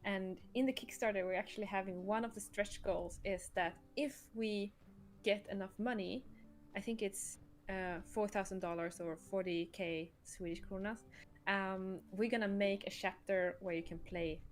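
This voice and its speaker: A woman's voice: mid-range voice, steady tone, normal speed. A woman co-hosting a casual livestream as a game creator, giggly and encouraging.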